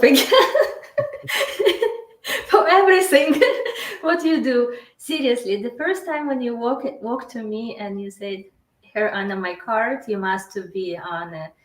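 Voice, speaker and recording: Ukrainian woman, halting English, echoey room. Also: fairly high pitch. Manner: halting non-native English with many fillers. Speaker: interview guest. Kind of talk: casual interview.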